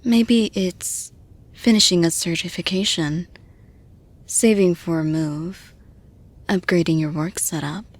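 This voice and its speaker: A woman playing a composed, supportive female boss in an intimate roleplay audio, speaking to the listener in a measured, reassuring, soft manner. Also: on the low side.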